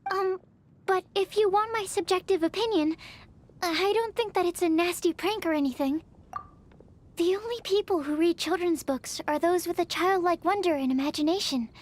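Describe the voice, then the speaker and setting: A woman's voice: very high-pitched, normal speed. A woman voicing a timid, bookish young researcher in a video game, speaking in a polite, tentative and precise way. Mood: tentative, thoughtful. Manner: tentative, precise, formal wording.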